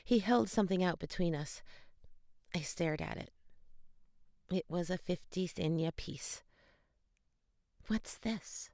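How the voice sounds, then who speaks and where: A woman giving an expressive, dramatic first-person audiobook narration of young adult fantasy. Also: fairly low pitch, measured pace, long pauses, dry recording, studio quality.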